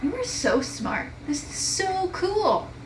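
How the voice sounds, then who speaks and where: A woman voicing an eager, excitable young schoolboy in a storybook read-aloud. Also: very high voice, takes it slowly, roomy sound, background noise, pitched above the performer's own voice, exaggerated voice. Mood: excited, awed.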